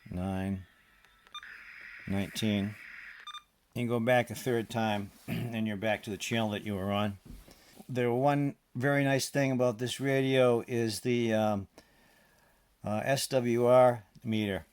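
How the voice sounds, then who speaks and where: A man giving an informal hands-on demonstration as a radio hobbyist, speaking haltingly and often hesitating. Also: medium pitch, fairly even intonation, a little mellow. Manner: halting, hesitant, throat-clearing.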